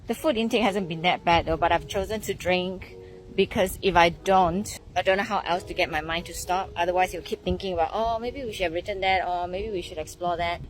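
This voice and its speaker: A woman's voice: mid-range voice, low-quality recording. A woman in her late forties with a Singaporean accent, chatting casually and candidly in a personal vlog, poking fun at herself. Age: late 40s. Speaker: vlogger.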